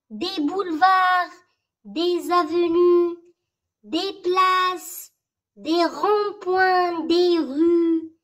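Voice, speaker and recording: A very high-pitched voice reciting a school poem in short, measured phrases with pauses, for learners to memorize. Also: fairly bright tone.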